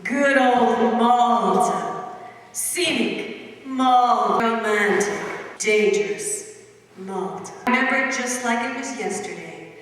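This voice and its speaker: A woman playing a worldly, wistful narrator in a 1940s film noir send-up on stage radio, pausing dramatically. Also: fairly high pitch, fairly bright tone. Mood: wistful, dramatic. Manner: slow, dramatic, pausing delivery. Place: large echoing hall, stage rehearsal. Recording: echoey hall, far from the mic.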